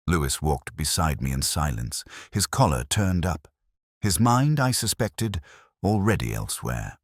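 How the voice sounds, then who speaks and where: A man reading a scripted first-person detective story in a measured, literary, brooding manner. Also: very deep voice, breathy edge, dry recording, studio quality. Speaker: narrator.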